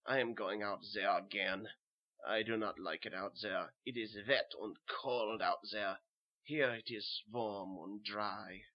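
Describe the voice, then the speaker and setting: A man's voice: lowish voice, steady tone, a little slow, muffled recording, voice pushed down, lightly acted. A man playing a weary, haunted German soldier who narrates a horror tale in simple short sentences, with a German accent. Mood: weary, fearful. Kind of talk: first-person horror audio narration.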